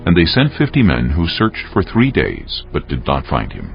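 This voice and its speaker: A male narrator with an extremely deep, gravelly voice reads scripture solemnly. His intonation moves widely, in storytelling fashion. The audio is a little dull, with music playing underneath. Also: wildly swooping pitch, normal speed. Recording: muffled audio over faint music.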